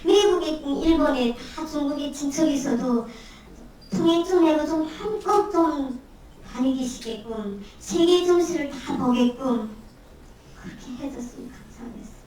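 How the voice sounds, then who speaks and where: A woman's voice: sits high, animated, rapid-fire, mellow, slight background noise. A woman from North Korea, the guest speaker at a Q&A, speaking firmly and emphatically. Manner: emphatic, firm. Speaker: guest speaker, former nurse. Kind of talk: speaking Korean at a Q&A through an interpreter. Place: university lecture hall. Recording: echoey hall from far off.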